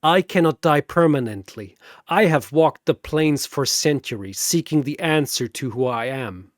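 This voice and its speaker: A man voicing a weary, scarred immortal in a fantasy battle story, solemn and quiet, brooding over an endless search. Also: fairly high pitch, animated, measured pace, dry recording, studio quality.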